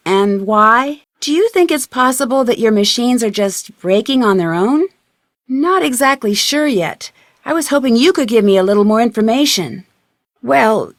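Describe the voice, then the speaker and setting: An actress playing a polite, inquisitive young woman detective in a video game, speaking in a clear, friendly, conversational way. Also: a little high, dry recording, studio quality, natural voice. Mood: mildly wry. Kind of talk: video game dialogue acting, isolated conversational lines.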